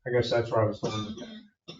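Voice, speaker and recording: Man, board chair, measured conversational talk, roomy echo, muffled distant mic. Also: mid-range voice, very animated, soft background music. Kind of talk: public meeting discussion. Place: village hall meeting room.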